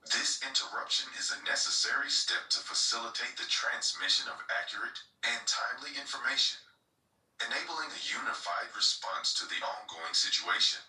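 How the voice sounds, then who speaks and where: Male emergency broadcast announcer, solemn and formal, hard-edged bright tone, heard from off in an echoey space. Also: medium pitch, lively intonation, moderate pace. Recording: distant echoey playback from a television.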